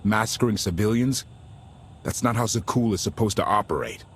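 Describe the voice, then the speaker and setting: A man's voice: lowish voice, moderate pace, slight background noise, natural voice. A man playing an earnest, idealistic deserter warship captain in a video game, talking in a casual, sincere way. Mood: sober, sincere.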